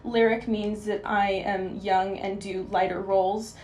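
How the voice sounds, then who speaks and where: A woman of twenty-two, a guest singer on a radio show, explaining clearly and filling gaps with "you know". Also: medium pitch, flat delivery, a little slow, darkish tone, echoey room, a little hiss or hum. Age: young adult. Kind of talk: interview answers.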